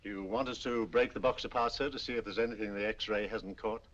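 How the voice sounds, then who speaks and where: A man's voice: on the low side, steady tone, fast, a bit bright, close to an everyday voice. An actor playing a deferential male police officer reporting to his superior in a 1940s film. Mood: dutiful. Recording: dull old film soundtrack with hiss.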